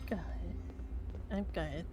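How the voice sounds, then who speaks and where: A woman's voice: slow, noisy background, lightly acted. A woman playing a chatty, cheerfully violent criminal in improvised game roleplay, rambling and repeating herself. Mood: chatty, blithe. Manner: rambling, repeats yeah and you know.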